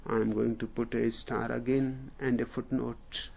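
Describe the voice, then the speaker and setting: A man with an Indian accent, a native Hindi speaker, telling a story as a spiritual teacher in a deliberate, unhurried way with long pauses. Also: medium pitch, little pitch movement, vocal fry, darkish tone, breathy edge. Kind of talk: slow storytelling discourse.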